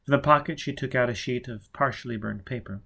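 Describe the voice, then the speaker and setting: A man reads a novel aloud in a measured, subdued voice. His pitch is middling and even. The delivery is plain scripted narration. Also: creaky. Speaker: audiobook narrator.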